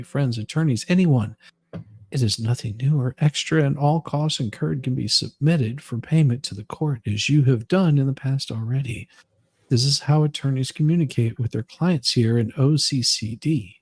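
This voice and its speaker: A man hosts with a sardonic edge, moving between casual banter and reading letters aloud. His pitch sits in the middle and the sound is close and dry, with little room on it. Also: darkish tone, slightly rough, no room sound. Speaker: host.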